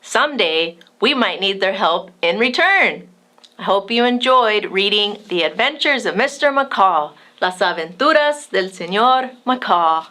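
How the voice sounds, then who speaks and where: Casting: female storybook host, bright and slow-paced, expressive read-aloud for kids. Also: mid-range voice. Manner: expressive, addresses young listeners directly. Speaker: children's storybook reader and host. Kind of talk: animated read-aloud narration for children.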